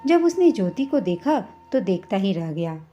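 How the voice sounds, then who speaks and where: A woman's voice: mid-range voice, very animated, moderate pace, warm tone. A woman narrating a scripted family story as a storyteller. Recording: slightly dull, muffled recording. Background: background music.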